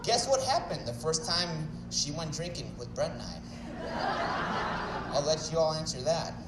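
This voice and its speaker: A man's voice: a little high, lively intonation, unhurried, recorded from a distance, very noisy. Joking young Chicago man in echoey hall. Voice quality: mumbles a little. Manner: joking, self-deprecating, nervous at first. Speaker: wedding speaker. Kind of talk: wedding toast. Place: wedding reception hall.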